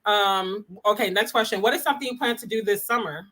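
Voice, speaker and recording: A woman's voice: mid-range voice, quick pace, pushed and bright. A woman from Washington, D.C., hosting a casual livestream interview in a friendly manner and laughing often. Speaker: host.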